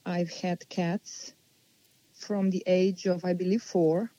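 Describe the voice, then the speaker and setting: A woman, answering interview questions unhurriedly and pausing as she thinks. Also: on the low side, level tone, dark tone, no room sound, lo-fi sound. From Slovenia. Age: 40s. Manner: unhurried, thoughtful, with many pauses and restarts. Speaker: guest.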